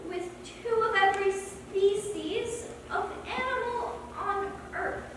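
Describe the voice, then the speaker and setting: Actress as very high-voiced, defiant schoolgirl. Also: takes it slowly. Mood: dutiful reciting. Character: defiant young Native schoolgirl, proud of family tradition. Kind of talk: stage play acting. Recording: echoey hall, distant mic, noisy.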